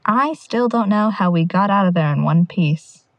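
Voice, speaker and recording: An earnest young woman voiced by an actress in an audio drama, eager and confiding. She speaks haltingly at a medium pitch. The recording is dry and slightly dulled. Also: lively intonation, dry recording, dull audio, close to an everyday voice. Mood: quiet, relieved. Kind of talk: naturalistic audio drama acting.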